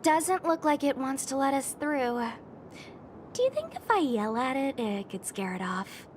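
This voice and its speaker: Eager, high-voiced young knight. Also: female voice, sing-song, unhurried, slight background noise. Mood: wry, plucky. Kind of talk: video game voice acting.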